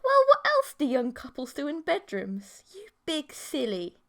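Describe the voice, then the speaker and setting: Audiobook creature role: woman voicing coy, pouty monstrous girlfriend, very animated high voice, demanding. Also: measured pace, full character voice. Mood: coy, teasing. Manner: pouting, wheedling, bossy.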